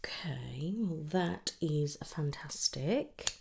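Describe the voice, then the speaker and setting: A British woman doing a gentle, chatty ASMR hairdresser roleplay, speaking softly and reassuringly close to the mic, often slipping into a breathy whisper. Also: low-pitched, lively intonation, drawn-out words, a little hiss or hum. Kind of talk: ASMR roleplay, one-sided casual chat. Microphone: close ASMR microphone.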